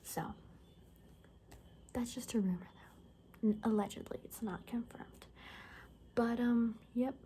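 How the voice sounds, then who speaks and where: Casting: a woman to play a chatty apocalypse confidante for ASMR roleplay. Mellow, soft, breathy voice sliding into whispers, loose rambling delivery, slight ambient noise. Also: a little high, fairly even intonation, measured pace, long pauses, close to an everyday voice. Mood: conspiratorial, gossipy. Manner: rambling, casual, gossipy, full of filler words. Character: chatty young woman in zombie apocalypse compound, gossipy friend.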